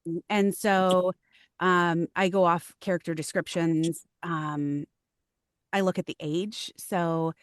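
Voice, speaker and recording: A woman's voice: on the low side, fairly even intonation, slow pace, no echo, studio quality. A woman voiceover actress, a podcast guest, talking through her craft in casual interview chat, thoughtful, with many ums.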